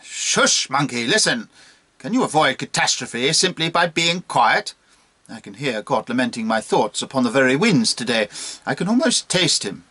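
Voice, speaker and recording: Man acting a pompous old bishop, forceful and animated. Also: mid-range voice, normal speed, husky, full character voice. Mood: urgent, grandiose. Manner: pompous, declamatory, threatening. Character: pompous, blustering fat old drunken bishop. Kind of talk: dramatic poetry reading.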